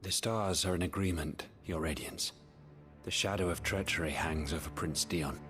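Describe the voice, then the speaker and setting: Casting: male actor for solemn fantasy court seer, deep register, formal and portentous. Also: moderate pace, music in the background, natural voice. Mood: ominous. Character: grave male court advisor bearing ominous omens. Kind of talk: theatrical fantasy game dialogue.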